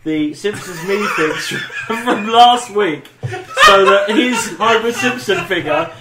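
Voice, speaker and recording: A British man with a high, lively voice joins in casual podcast banter. The recording is echoey, with clear noise behind him. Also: takes it slowly. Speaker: podcast co-host.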